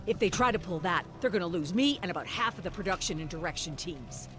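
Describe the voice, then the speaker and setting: A woman's voice: lowish voice, expressive, fast, a bit bright, voice pushed up. A woman playing a defiant, wary female TV host, challenging and guarded, in a dramatic game story reading. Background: music.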